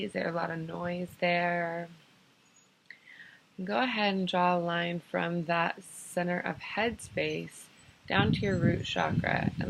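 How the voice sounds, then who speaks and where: A woman leading a guided meditation in an unhurried, soothing manner, giving gentle improvised instructions in short phrases with pauses. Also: on the low side, creaky, poor audio. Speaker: guided meditation teacher and host.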